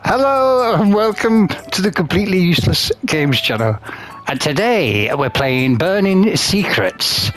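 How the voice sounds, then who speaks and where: A gaming host reads visual novel text aloud in an informal, unpolished way. The pitch moves around a lot at a mid level, and the pace is unhurried. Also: very animated, a bit bright, faint music behind, low-quality recording. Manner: casual, swearing asides.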